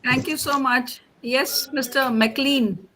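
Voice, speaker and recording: Woman professor, crisp bright voice, slightly high, video-call class host. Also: steady tone, slow pace. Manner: encouraging, calls on students by name. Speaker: professor leading an online class. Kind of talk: online class introductions.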